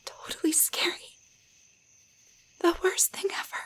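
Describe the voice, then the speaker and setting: A woman playing a timid young waitress shrunk into a doll, in a roleplay audio drama, speaking in a halting, stammering way. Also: fairly bright tone, whispered, close to an everyday voice. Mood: fearful, sad.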